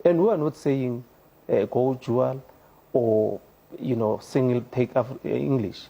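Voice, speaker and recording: A man's voice: medium pitch, unhurried, vocal fry, dark tone, rough recording. A South African man speaking as a studio guest on a panel, earnest and hesitant with many fillers. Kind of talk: panel discussion.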